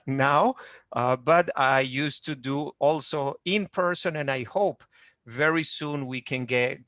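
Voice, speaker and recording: Genealogist with a Venezuelan accent. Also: male voice, mid-range voice, bright, forceful tone, no room sound. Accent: Venezuelan Spanish. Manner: hesitant, many fillers, friendly explaining. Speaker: genealogist presenting a webinar. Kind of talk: online lecture with shared screen.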